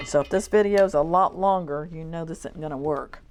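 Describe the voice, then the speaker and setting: Low-voiced woman demonstrating in a workshop. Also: a little fast, noisy background. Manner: relaxed, thinking aloud while working. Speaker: shear sharpening instructor. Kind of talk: hands-on demonstration talk.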